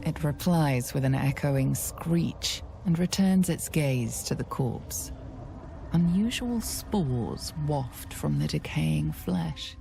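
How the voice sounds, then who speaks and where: A woman narrating a fantasy video game in a measured, hushed, dramatic storytelling style. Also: low-pitched, lively intonation, gravelly, over music, full character voice. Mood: hushed, grave.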